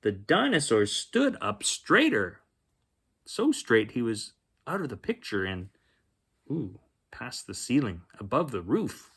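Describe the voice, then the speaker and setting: A man hosting a children's bedtime story reading, expressive, with chatty asides spoken straight to young listeners. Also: medium pitch, takes it slowly, husky. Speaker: children's story reader and host. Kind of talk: bedtime picture book read-aloud with chatty asides.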